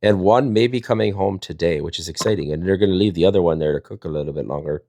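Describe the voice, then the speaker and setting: Low-voiced guy, fast-talking playful storyteller, very dry close sound. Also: warm tone, no room sound. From Wisconsin, United States. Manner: rambling, jokey, playful. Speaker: storyteller and live panel host. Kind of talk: casual chat and storytelling.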